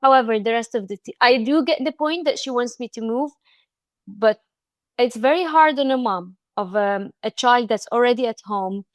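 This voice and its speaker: Egyptian woman telling a story haltingly. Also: fairly high pitch, no echo, clean studio sound. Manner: halting, frequent restarts and fillers. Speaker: podcast guest. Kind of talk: casual interview chat.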